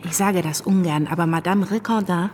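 A woman's voice: lowish voice, fairly quick, music in the background, natural voice. A woman playing an anxious, dutiful young wife, narrating her life confidingly in a radio drama. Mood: confiding, worried.

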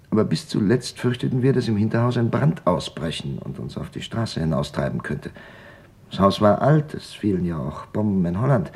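A very low-voiced middle-aged father gives testimony in a radio drama. He is quiet and sober, recalling the past in measured phrases. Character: grieving middle-aged father, a quiet survivor remembering hiding.

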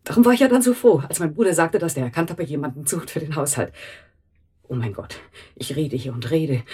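An anxious housekeeper in a radio drama. Her low voice is very husky and breathy. She rambles on, wordy and emotional, correcting herself as she goes. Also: a little fast, mellow. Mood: chatty, flustered. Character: chatty, anxious housekeeper protective of her brother.

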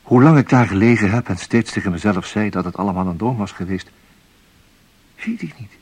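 An actor playing a dazed, horrified man narrating a nightmare in a radio play, hushed, husky and haunted. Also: fairly low pitch, animated, a little fast.

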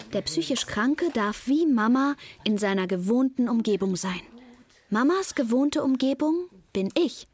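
A woman's voice: on the high side, a little hiss or hum. A woman playing a naive, earnest young child who narrates a radio drama in a plain, matter-of-fact way.

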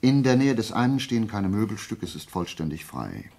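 Methodical radio-play sleuth. Deep, gravelly, animated, lecturing with deliberate emphasis and total confidence. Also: male voice. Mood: assured, analytical. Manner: deliberate, emphatic, didactic explaining. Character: methodical, self-assured male amateur detective reasoning aloud.

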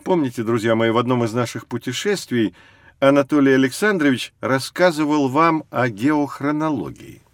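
A man acting as a learned guide explains things to children in an educational audio drama. His mid-pitched voice is measured and patient, with expressive phrasing and a dry, studio-close sound. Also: no room sound, close to an everyday voice. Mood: encouraging, instructive. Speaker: narrator and teacher. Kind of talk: scripted educational narration for children.

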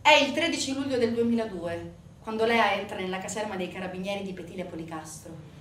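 A woman narrating a dramatic stage monologue in an emphatic, theatrical way with deliberate pauses. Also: medium pitch, fairly bright tone. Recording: echoey, distant mic, faint noise.

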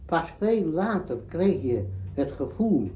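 A man's voice: a little high, sing-song, takes it slowly, dark tone. Muffled tape of an older Dutchman explaining. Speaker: interviewee, veteran radio drama director. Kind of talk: spoken reminiscence. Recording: muffled archive recording, faint noise.

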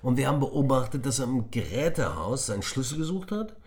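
A man's voice: medium pitch, brisk, pitched above the performer's own voice. A man reading a storybook, voicing an eager and bold schoolboy friend. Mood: excited. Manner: excited, then hushed whispering.

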